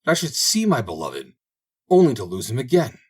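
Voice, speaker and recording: A man plays a sorrowful young wife in a fairy tale. The voice is lifted above his own, husky and swooping, full of plaintive longing. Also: mid-range voice, wildly swooping pitch, fairly quick, pitched above the performer's own voice. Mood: despairing. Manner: plaintive, lamenting. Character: unhappy young wife longing for her lost beloved. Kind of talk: storybook character voice.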